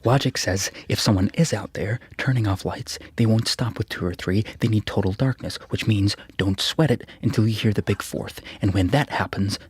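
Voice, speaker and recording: An actor playing a jumpy, self-mocking young graduate student in a radio drama, husky and nervously muttering. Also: male voice, on the low side, moderate pace, few pauses. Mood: anxious reasoning. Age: young adult. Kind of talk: radio drama acting, interior monologue.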